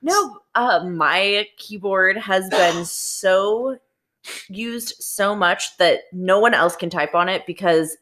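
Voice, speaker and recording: A woman's voice: mid-range voice, takes it slowly, fairly bright tone. An American woman co-hosting a casual podcast, playful and teasing in her banter. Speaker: podcast co-host.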